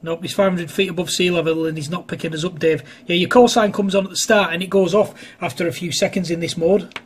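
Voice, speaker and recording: Male ham radio hobbyist, high voice with a rough husk, casual on-air chat. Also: steady tone, quick pace. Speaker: amateur radio operator filming a test. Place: indoors at a home radio desk.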